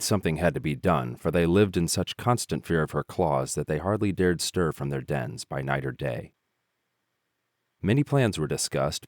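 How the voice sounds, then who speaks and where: A man narrating fables in an expressive, measured storytelling style. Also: very deep voice, no room sound, studio quality.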